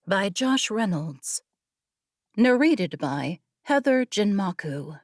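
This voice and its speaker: Unhurried, expressive woman narrating fiction. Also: medium pitch, dry recording, clean studio sound. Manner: measured, expressive storytelling. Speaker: audiobook narrator.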